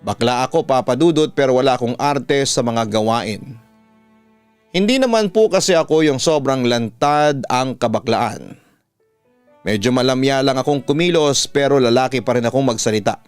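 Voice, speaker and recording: A Filipino man with a smooth, resonant voice, narrating stories as a radio-style host. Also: medium pitch, animated, moderate pace, no room sound. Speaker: radio announcer and horror story host. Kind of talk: dramatic narration of listener letters. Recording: dry close voice over background music. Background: music.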